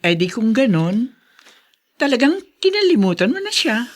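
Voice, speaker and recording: A concerned woman confiding with a friend in a radio drama. She is earnest and gently probing, her pitch moving freely up and down. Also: big pitch swings, moderate pace. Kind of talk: Filipino radio drama acting.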